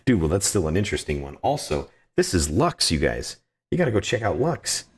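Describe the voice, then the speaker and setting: Male streamer, low voice, casual game commentary, effusive and goofy, often repeats phrases. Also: very animated, a little fast, slightly rough. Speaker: livestreamer playing a game and greeting chat.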